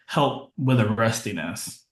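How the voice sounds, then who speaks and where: A man in his thirties, a podcast guest, talking in a relaxed, matter-of-fact way that trails off now and then. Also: fairly low pitch, takes it slowly, echoey room. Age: 30s. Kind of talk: casual interview chat.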